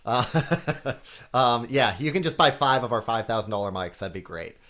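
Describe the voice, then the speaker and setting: A man's voice: medium pitch, animated, moderate pace, fairly bright tone. A man with a nasal voice, a guest on a podcast, chatting casually and technically about microphones. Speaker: guest, microphone company CEO.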